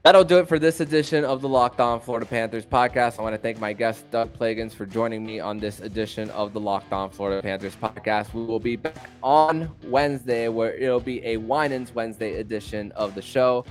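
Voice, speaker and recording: A man's voice: medium pitch, brisk, hardly any pauses, soft background music. A man hosting a sports podcast, presenting in a conversational way as he addresses a guest or the listeners. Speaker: podcast host.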